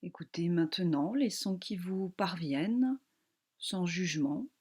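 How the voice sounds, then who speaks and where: A woman yoga teacher guiding a relaxation meditation in a calm, gentle, soothing manner with long pauses. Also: on the low side, husky.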